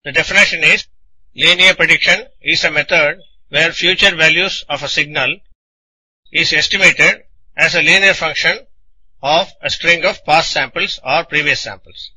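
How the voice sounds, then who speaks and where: A man narrating a scripted technical lecture in a deliberate, didactic manner, explaining things in short phrases. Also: a little high, pushed and bright, breathy edge, rough recording.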